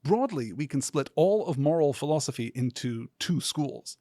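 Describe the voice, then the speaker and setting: A man hosting a podcast as a scripted literary lecture, speaking in a measured, earnest, academic way. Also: fairly high pitch, expressive, dark tone, dry recording, studio quality. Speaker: podcast host.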